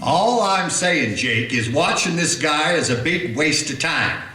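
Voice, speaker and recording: A man's voice: a little high, lively intonation, unhurried, bright, forceful tone. A man playing a grumbling small-town deputy. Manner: complaining, folksy drawl of speech. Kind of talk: radio play acting. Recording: roomy echo.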